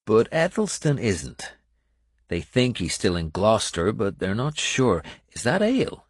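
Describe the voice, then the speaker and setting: Man voicing a gruff, husky, blunt warrior. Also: lowish voice, very animated, unhurried, dry recording, studio quality, voice pushed up. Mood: wry. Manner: blunt, earthy. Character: gruff, blunt, sweary male warrior, wry and loyal.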